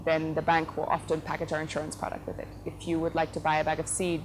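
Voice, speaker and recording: A middle-aged woman from the Netherlands, a company head giving thoughtful interview answers, often pausing with fillers and correcting herself. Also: deep voice, rough recording. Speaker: company CEO, interview guest. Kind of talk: relaxed conversational interview answers. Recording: noticeable background noise.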